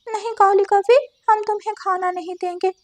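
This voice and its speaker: Woman as a very high-pitched parrot wife. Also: steady tone, normal speed, no room sound. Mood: indignant. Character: female parrot wife, firm house-proud homemaker. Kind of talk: Hindi cartoon story dialogue.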